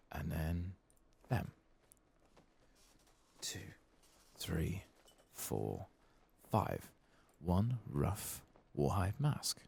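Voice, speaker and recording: A man speaking softly, sometimes whispering, as he hosts an ASMR game playthrough with murmured asides. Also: low-pitched, gravelly, breathy edge. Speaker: ASMR gaming host.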